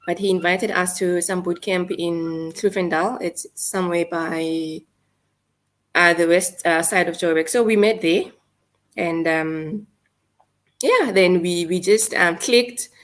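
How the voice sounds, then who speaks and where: A woman in her thirties with a South African accent, telling a painful personal story in a halting, hesitant way. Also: lowish voice. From South Africa, North West. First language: Setswana. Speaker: guest. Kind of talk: emotional personal account.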